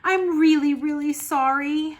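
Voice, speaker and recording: A woman's voice: mid-range voice, slow, a bit bright. A woman reading the part of a well-meaning, pesky little girl in a children's picture book, simple and contrite. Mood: upset, pleading.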